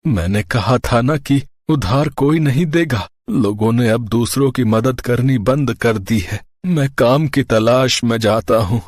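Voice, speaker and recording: A man's voice: medium pitch, unhurried, few pauses, dry recording, lightly acted. A man playing a poor, ailing husband in a moral story, stoic and reassuring as he speaks. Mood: resigned.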